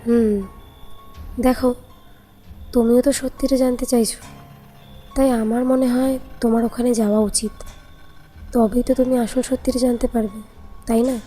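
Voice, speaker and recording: Woman voicing a very mellow, mysterious young woman. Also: on the high side, little pitch movement, normal speed, long pauses, natural voice. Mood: soothing, mysterious. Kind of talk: animated horror story dialogue. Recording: music under the voice.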